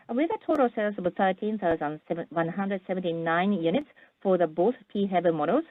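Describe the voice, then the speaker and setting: Low-pitched woman on a tinny phone line. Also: no echo. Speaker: corporate earnings call presenter. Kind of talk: scripted business presentation.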